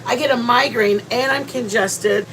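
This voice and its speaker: A woman's voice: on the high side, unhurried, a bit bright, a little hiss or hum, low-quality recording. A woman hosting a livestream from home, sounding congested and rambling chattily with many restarts. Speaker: livestream host. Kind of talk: casual livestream chat. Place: at home, near the kitchen.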